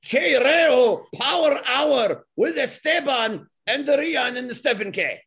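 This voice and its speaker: A man putting on an indignant Latin luchador with a Latin American accent and theatrical broken English, raspy in tone. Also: very high voice, animated, slow pace, fairly bright tone, full character voice. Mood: haughty. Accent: put-on Latin American. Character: indignant, loud Latin luchador show-stealer.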